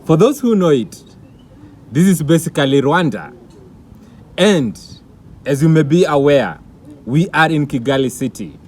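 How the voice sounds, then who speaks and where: A man's voice: a little high, lively intonation, unhurried, long pauses, a little mellow. A man hosting a vlog, presenting formally and interviewing his guests. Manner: formal, announcer-like delivery. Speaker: vlog host.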